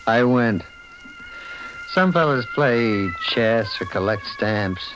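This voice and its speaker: A man's voice: fairly low pitch, lively intonation, slow, dull audio. Intense, obsessed man, swooping delivery. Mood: brooding. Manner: intense, dramatic. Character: obsessed, stubborn male collector narrating his downfall. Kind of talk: 1940s radio acting, first-person dramatic narration. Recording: old radio recording with music behind.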